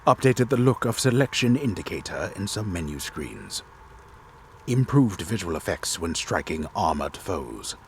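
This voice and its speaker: Man performing a very deep, theatrical storyteller. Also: big pitch swings, a little hiss or hum, heavily acted. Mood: grave, theatrical. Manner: solemn, theatrical, deliberate announcing. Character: disembodied male storyteller, grave and theatrical. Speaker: game storyteller and narrator. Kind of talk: dramatic reading of game patch notes.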